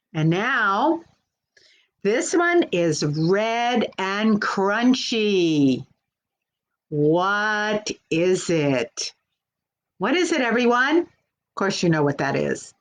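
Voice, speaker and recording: A woman working as a children's librarian, hosting a live storytime in a simple, encouraging way and speaking directly to young children. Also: medium pitch.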